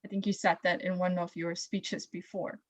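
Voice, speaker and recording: A woman's voice: on the low side, level tone, normal speed, dry recording. A young woman, a student, moderating a panel and hesitating with many fillers.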